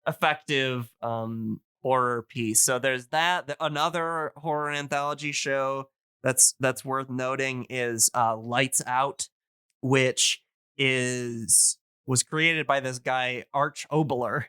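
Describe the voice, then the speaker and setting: A man in a casual explanatory podcast chat, talking in a hesitant, halting way as he thinks aloud. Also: medium pitch, steady tone, sharp tone, smooth, no echo, clean studio sound.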